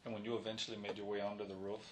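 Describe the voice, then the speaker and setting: A man's voice: lowish voice, little pitch movement, very fast. A man asking careful, probing questions in a formal interview. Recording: noisy room.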